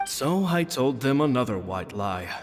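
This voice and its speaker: A man voicing a kindly, modest stage magician in a video game, narrating his journal in a gentle, reflective way. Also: medium pitch, sing-song, a little slow. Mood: amused, fond. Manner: gentle, storytelling. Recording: long reverb, music behind.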